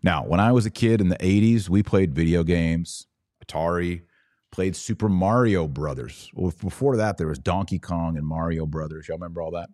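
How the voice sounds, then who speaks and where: A middle-aged American man with a very low voice speaks as a podcast host. He delivers an opinionated monologue in short, punchy, emphatic phrases. The sound is dry, with no room echo. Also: moderate pace, dark tone.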